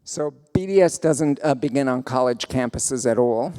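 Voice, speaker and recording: A man's voice: medium pitch, animated, moderate pace, dark tone, long reverb tail. A man with a South African accent, giving a lecture and answering audience questions, speaking thoughtfully with many fillers and restarts.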